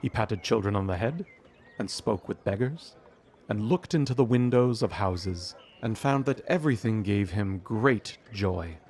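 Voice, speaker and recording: A man narrating a storybook audio drama in a measured storytelling manner. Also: fairly low pitch, animated, darkish tone, slightly rough. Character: omniscient storybook narrator of a Christmas tale.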